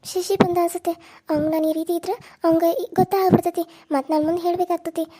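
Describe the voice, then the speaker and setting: A woman's voice: very high-pitched, little pitch movement, rapid-fire, dark tone. A woman voicing a frightened young daughter-in-law who speaks in a tearful, pleading North Karnataka way. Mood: gentle. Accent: North Karnataka Kannada.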